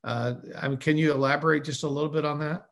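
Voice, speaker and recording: A man's voice: a little high, steady tone, fast talker, creaky, fairly bright tone. A man hosting a podcast interview, reflecting on what the guest said and asking her to say more. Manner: reflective, affirming questions. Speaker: podcast host.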